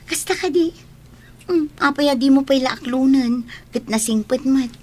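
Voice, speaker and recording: A Filipino actress playing a teasing, nosy young barmaid friend in a radio drama. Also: female voice, high-pitched, moderate pace, a little mellow, lightly acted. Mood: playful, prying. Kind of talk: Ilocano radio drama acting.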